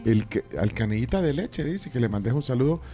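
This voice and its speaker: A man's voice: mid-range voice, expressive, brisk, dark tone, faint music behind. A man hosting a live studio talk show, joking and reading out viewer messages. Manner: jokey, reads viewer messages. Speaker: host.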